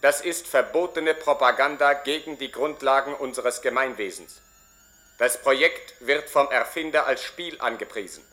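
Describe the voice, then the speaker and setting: A man's voice: mid-range voice, sharp tone, natural voice. An actor playing a stern, rigid male security official in a radio play, speaking in formal bureaucratic pronouncements. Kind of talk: German radio play acting.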